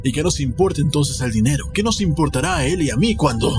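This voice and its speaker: A man voicing a grandiose, formal gentleman, secretive and deluded, in an audio story with music behind. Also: on the high side, animated, quick pace, natural voice. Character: proud, secretive, delusional gentleman insisting he is sane.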